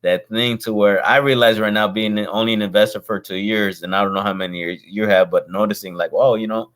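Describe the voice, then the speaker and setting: A man hosts a casual chat in a friendly, rambling way and often drops in slang like "bro." His voice sits fairly low in pitch, and he talks loosely, with lots of restarts. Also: fairly even intonation, brisk, fairly bright tone. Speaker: host, real estate investor.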